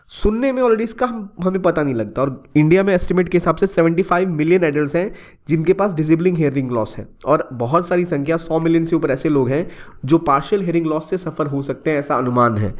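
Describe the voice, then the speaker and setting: A man's voice: on the high side, lively intonation, a little fast. A young man from India giving an explanatory current-affairs lesson for exam students, talking conversationally, dropping English phrases into his speech and often correcting himself mid-sentence. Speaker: exam-prep educator.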